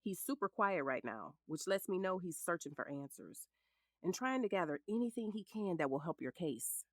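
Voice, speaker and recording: An actress with an American accent playing a sharp-tongued, protective middle-aged lawyer and scornful ex-wife in an audio drama, blunt and commanding. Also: female voice, fairly low pitch, lively intonation, moderate pace, no echo, studio quality. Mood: businesslike, steady.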